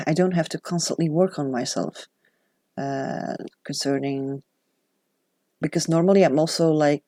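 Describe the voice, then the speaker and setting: A woman's voice: low voice, warm tone, dry recording. Chatty woman, dry close sound. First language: Dutch. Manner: chatty and reflective, with self-deprecating asides. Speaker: journaling vlogger. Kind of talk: casual chat.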